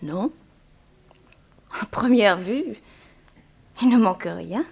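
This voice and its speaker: A young woman of a planter's family, bereaved yet composed, in a 1960s French radio play. She speaks frankly in a middle-pitched voice, with measured, theatrical diction and a guarded edge. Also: expressive, gravelly, husky, heavily acted. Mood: thoughtful.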